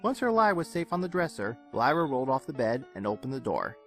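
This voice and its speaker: A man narrates a story in an expressive way, with a mid-pitched voice that swings up and down. Music plays softly beneath the reading. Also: a little fast. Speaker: narrator of a fan fiction audio reading. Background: soft game music.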